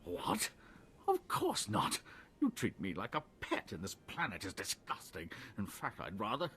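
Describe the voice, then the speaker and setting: A man's voice: fairly low pitch, huge pitch swings, measured pace, a bit bright, breathy edge, full character voice. An actor playing a whiny, pampered nobleman in a video game, prim, plaintive and easily flustered. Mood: flustered, offended.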